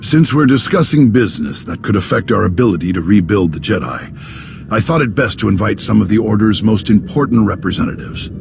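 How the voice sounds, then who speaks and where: A man voicing a grave, authoritative Jedi master in a video game, speaking in a measured, formal way with a gravelly voice. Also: lowish voice, animated, music in the background. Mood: composed, formal. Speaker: Jedi master leading a meeting. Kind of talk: video game dramatic acting.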